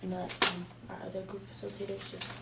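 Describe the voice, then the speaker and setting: A woman's voice: mid-range voice, completely flat delivery, racing, vocal fry. A woman in her twenties facilitating a student group meeting, earnest and prayerful, with many fillers. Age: 20s. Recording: echoey room, distant mic, background noise.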